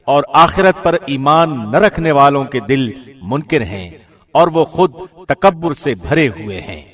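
A man reading a scripture translation formally, with measured, solemn and carefully phrased delivery. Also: medium pitch, expressive, fairly bright tone. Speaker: professional radio host reading translation.

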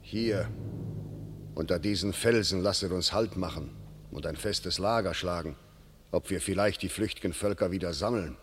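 An actor playing a stern, sharp-tongued English commander in a classic radio play, declaiming verse with authority. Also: male voice, low voice, moderate pace, a little hiss or hum, lightly acted. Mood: grave. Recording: long hall reverb.

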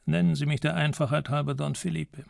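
A man playing a courtly, secretive old ex-intelligence officer in a radio crime drama, gravelly and formal, choosing his words with dignity. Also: on the high side, little pitch movement, a little fast, no room sound, studio quality. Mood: self-justifying. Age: older.

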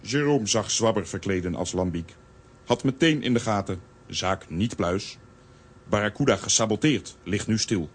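A man playing a broad, calm strongman hero who talks in clipped telegram sentences, in a children's audio drama. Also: fairly low pitch, normal speed, slightly rough. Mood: matter-of-fact. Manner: clipped telegram sentences without pronouns, talks of himself by name.

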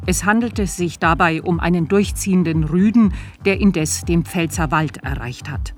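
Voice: medium pitch, normal speed, soft background music, close to an everyday voice. A performer playing a sober radio news reader, delivering scripted bulletins in a formal, factual manner. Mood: neutral, formal.

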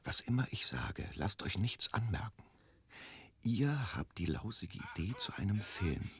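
Deep-voiced scheming man. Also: sing-song, unhurried, a bit bright, slightly rough, no echo, full character voice. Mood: conspiratorial. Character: scheming, secretive man with a movie plan. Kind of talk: radio drama acting.

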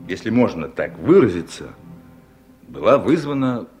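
A man's voice: lowish voice, very animated, unhurried, a little mellow, faint music behind. An actor playing a collective farm chairman in a Soviet radio play, deferential yet evasive, hesitant and wordy. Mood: stubbornly explaining. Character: male collective farm chairman, hospital patient, politely stubborn.

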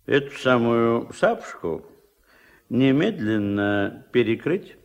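A self-important Soviet fishery boss for a radio play. His gravelly voice swings widely in pitch as he blusters and gives orders. He speaks slowly and officiously, like a suspicious bureaucrat. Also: big pitch swings, heavily acted. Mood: curious, scheming. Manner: officious, bureaucratic, repeating catchphrases.

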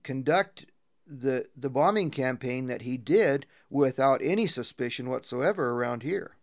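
Halting man giving an interview. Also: medium pitch, lively intonation, dry recording, studio quality. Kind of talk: spontaneous English interview testimony.

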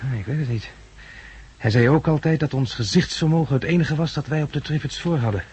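A man's voice: fairly high pitch, fairly quick, a little mellow, lightly acted. A man playing a level-headed, sombre survivor in a 1960s radio drama, matter-of-fact in manner. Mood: grave. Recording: hissy 1960s radio recording.

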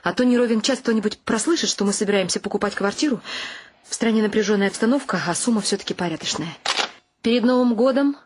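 A woman's voice: mid-range voice, fast, slight background noise, natural voice. An actress playing a wry, ironic woman writer, telling and acting out her story expressively in a radio play. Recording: music under the voice.

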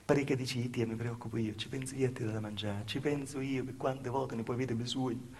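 A man's voice: medium pitch, fairly even intonation, fairly quick, husky. A man playing a Calabrian village mother, telling a folksy, repetitive, plaintive theatre monologue in dialect. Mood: plaintive, confiding.

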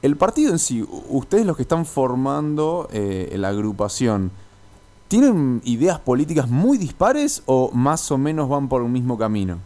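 A male radio host with a Buenos Aires Argentine accent and a medium-pitched voice. He interviews informally, building his questions in a wordy, roundabout fashion. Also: big pitch swings, moderate pace, warm tone. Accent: Buenos Aires Argentine Spanish.

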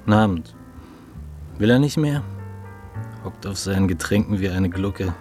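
A low-voiced, suave man of means in a radio play. He is sociable and generous, used to giving orders in an easy way. Music plays clearly behind him. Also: animated, moderate pace, a little mellow. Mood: suave, commanding. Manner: suave, casually commanding. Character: smooth, commanding wealthy male hotel-bar regular, generous and sociable. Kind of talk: German radio drama acting.

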